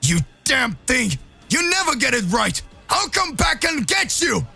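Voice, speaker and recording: A man acting out a bold, good-natured hero of an anime-style fight story. His voice is high, bright and excitable, with a forthright delivery. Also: unhurried, vocal fry, breathy edge, faint music behind, full character voice. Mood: outraged. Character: Goku, eager, bold, good-natured male alien fighter. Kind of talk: dramatised fan story.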